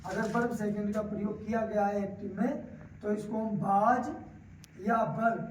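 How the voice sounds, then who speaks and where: High-voiced North Indian male teacher, echoey room. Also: steady tone, measured pace. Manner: didactic, repeats key phrases for emphasis. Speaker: English grammar teacher. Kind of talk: classroom grammar lesson, explanatory. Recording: roomy echo, distant mic, faint noise.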